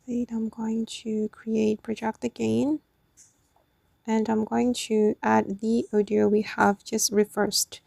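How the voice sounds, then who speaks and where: A woman's voice: fairly high pitch, level tone, takes it slowly, gravelly, warm tone, dry recording. A woman hosting a casual step-by-step app tutorial, explaining it off the cuff in a slightly hesitant way. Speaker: tutorial host.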